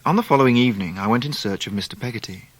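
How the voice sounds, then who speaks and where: An actor playing a Victorian gentleman narrating his own life in a radio drama, with an English accent and measured literary delivery. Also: mid-range voice, expressive, husky, no room sound. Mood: reflective. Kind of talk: scripted first-person radio drama narration.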